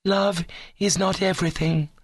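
A man's voice: high-pitched, steady tone, moderate pace, vocal fry, slightly rough, no echo, studio quality, pitched above the performer's own voice, exaggerated voice. A man voicing an ambitious, haughty young woman with an earnest, prim, scornful manner in a comic story reading. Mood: earnest, frank.

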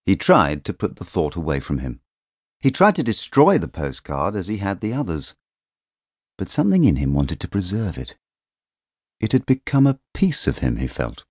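A man narrating literary fiction as an audiobook, in a measured, expressive storytelling manner on a close studio microphone. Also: sits low, husky, no room sound.

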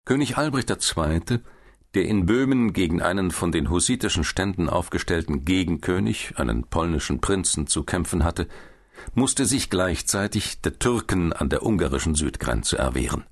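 Male history narrator, low register, measured delivery with an ironic edge. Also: expressive. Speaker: audiobook narrator. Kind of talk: scripted narration of a history book.

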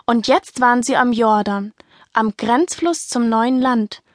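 Woman as a patient, high-voiced, sensible companion. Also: measured pace, dry recording, studio quality, natural voice. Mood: explaining. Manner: explanatory, gently firm. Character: patient, sensible female companion correcting and coaching. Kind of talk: children's audio play dialogue.

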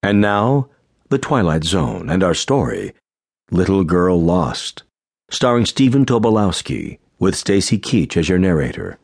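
Deep husky guy doing radio drama announcer bit, big pitch movement. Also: sing-song, unhurried, a little mellow, no echo.

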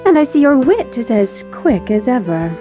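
A gleefully venomous showbiz rival in a radio drama. Her voice is fairly high and swoops with mocking theatrical relish over faint music. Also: big pitch swings, moderate pace, dark tone. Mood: smug, mocking. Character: catty, smug female rival actress, gleefully venomous. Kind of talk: audio drama acting.

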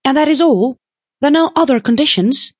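Woman voicing a pert, higher-pitched young woman. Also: lively intonation, brisk, no echo, studio quality. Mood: questioning. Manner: pert, direct, self-possessed. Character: small, plucky, sharp-witted young woman seeking work. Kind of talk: audiobook character voice.

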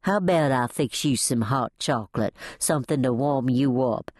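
A woman's voice: rumbling low, moderate pace, a little mellow, no room sound, studio quality. A woman voicing a motherly Southern housekeeper in an audiobook, folksy and coaxing, with a Southern US accent. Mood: soothing, coaxing.

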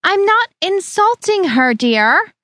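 A woman voicing a snobbish middle-aged future mother-in-law who talks in a prim, condescending, drawn-out way. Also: very high-pitched, very animated, bright, forceful tone, no echo, clean studio sound, voice pushed up, full character voice.

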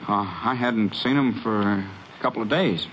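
An actor playing a nervous, weak-willed farmer in a 1950s radio western. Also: male voice, fairly low pitch, moderate pace, a bit bright, lightly acted. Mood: uneasy. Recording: noisy old radio recording.

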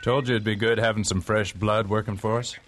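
An actor playing an affable, laconic trail boss in a western audio drama, with an easy way of talking. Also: male voice, fairly low pitch, steady tone, brisk, background noise, lightly acted. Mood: amused.

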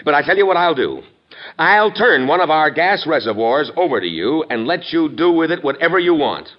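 An actor playing a calm, skeptical, shrewd mayor in classic radio drama, speaking in a measured, formal, dryly ironic way. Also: male voice, fairly high pitch. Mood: dry, firm. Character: calm, wry, skeptical small-city mayor, civil but shrewd. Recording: dull vintage radio recording.

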